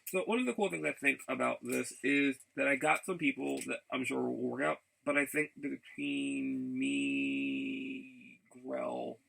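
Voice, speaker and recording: Very creaky-voiced man, casual and halting. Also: mid-range voice, steady tone, fairly bright tone, poor audio. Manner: halting, repeats and restarts phrases. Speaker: vlogger. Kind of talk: casual rambling vlog chat.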